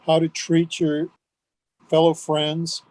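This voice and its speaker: A man's voice: a little high, monotone, slow, a little mellow, low-quality recording. An older man being interviewed, reminiscing about what he remembers. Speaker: interview guest.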